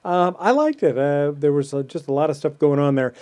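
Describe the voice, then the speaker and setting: A man with a soft, mellow tone hosts a relaxed podcast chat. He wanders through his thoughts, leaning on "you know" and "um" as he goes. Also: a little high, fairly quick, smooth. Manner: rambling, full of fillers. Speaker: podcast host. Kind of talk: casual chat.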